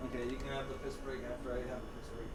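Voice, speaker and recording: A man's voice: medium pitch, flat delivery, very fast. A man doing casual, slangy live game-stream commentary with playful, joking asides. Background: video game music.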